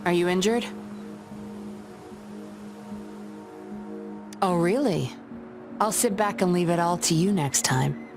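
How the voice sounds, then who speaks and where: A cool female agent in a video game. Her voice is on the low side but lively, sly and composed, over clear background music. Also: moderate pace. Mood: casual, caring. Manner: sly, smooth-talking, composed.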